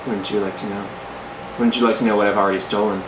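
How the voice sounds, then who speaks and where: A man playing a shady professional thief applying for a job, talking low and mock-formal. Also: level tone, quick pace, close to an everyday voice. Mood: sly. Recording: roomy with background noise.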